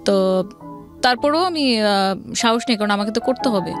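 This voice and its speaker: A woman's voice: mid-range voice, animated, normal speed. A woman with a Bangladeshi accent telling her life story as an interview guest, mixing English words into her speech. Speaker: interview guest, event planner. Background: background music.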